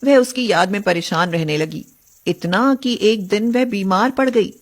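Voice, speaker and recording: A woman's voice: medium pitch, measured pace. A woman telling a scripted story as its narrator.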